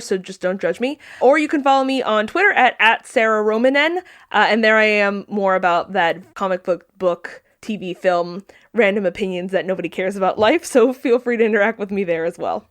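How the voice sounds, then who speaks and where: A woman's voice: medium pitch, brisk, hardly any pauses. A woman writer and voice actor chatting casually as a podcast guest, wry and self-deprecating. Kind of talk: casual chat.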